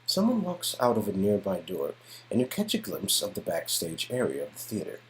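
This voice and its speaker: A man reading expressive second-person narration for an interactive story game. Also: low voice, normal speed, slight background noise, low-quality recording. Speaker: narrator and player character reader.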